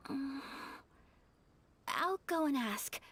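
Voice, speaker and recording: An actress playing a gentle, earnest young noblewoman in an anime game, courteous and careful in her speech. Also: high voice, animated, extremely slow, a little hiss or hum, exaggerated voice. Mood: gentle, explaining.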